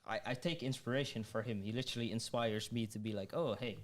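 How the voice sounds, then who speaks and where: A young man hosting a student podcast, speaking hesitantly with many pauses and giggles. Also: medium pitch. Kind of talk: casual interview chat.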